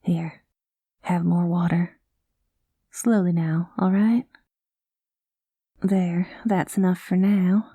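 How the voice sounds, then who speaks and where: A woman voicing a kind, easygoing space cowgirl pilot in an ASMR roleplay, talking gently in a folksy cowboy manner. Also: lowish voice, little pitch movement, slow, pauses often, husky, no room sound, full character voice. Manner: folksy drawling cowboy talk, calls listener partner; gentle and soothing.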